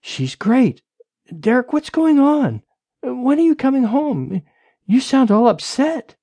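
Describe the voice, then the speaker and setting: A man's voice: very high voice, very animated, slow pace, warm tone, no echo, pitched above the performer's own voice, full character voice. A man voicing a worried, pleading wife on the phone in an audiobook, stammering with anxiety. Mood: anxious.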